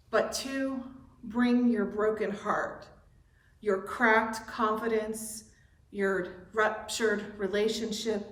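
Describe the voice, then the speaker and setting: A woman leading an online worship service, reading a welcome and an opening invocation in a gentle, deliberate liturgical style. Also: medium pitch, roomy sound. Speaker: director of religious exploration leading worship. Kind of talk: slow, measured liturgical reading. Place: church sanctuary.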